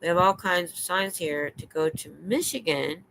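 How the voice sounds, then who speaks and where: An older American woman hosting a livestream, chatting in a rambling, excitable way. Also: fairly low pitch, normal speed, gravelly, a little hiss or hum, rough recording. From United States. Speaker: livestream host. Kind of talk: casual chat. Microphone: streaming setup.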